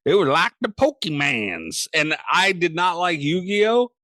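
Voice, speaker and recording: Man, podcast host, higher-pitched voice, lively intonation, dry close sound, enthusiastic, sweary. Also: unhurried, dry recording. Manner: enthusiastic, foul-mouthed. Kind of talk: casual profane banter.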